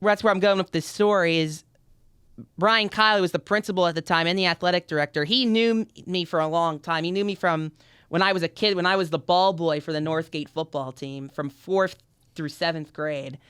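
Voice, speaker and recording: A man's voice: on the high side, fairly quick, a bit bright, clean tone, no echo. A young man, a podcast guest in a university studio, chatting in an upbeat, outgoing way with frequent restarts. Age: young adult. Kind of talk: casual interview chat.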